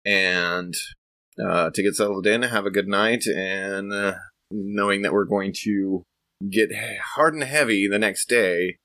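A man narrating a tabletop roleplaying game casually, in a halting, thoughtful way as he improvises. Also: on the low side, fairly even intonation, bright, forceful tone, dry recording.

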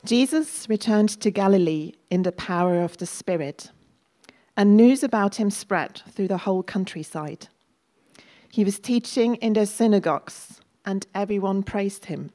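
A woman reading a scripture passage aloud in a measured, deliberate way, as part of a chapel service. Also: medium pitch, warm tone. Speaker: scripture reader.

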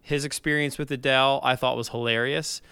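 A man hosting a podcast in casual chat, telling stories in an animated way and mouthing drum parts. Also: medium pitch, a little fast, smooth. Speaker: podcast host. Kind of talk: casual chat about drumming.

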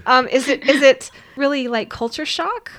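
Higher-voiced woman asking questions. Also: moderate pace, a crowd in the background. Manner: sympathetic reactions, short questions. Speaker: interviewer. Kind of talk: casual interview chat.

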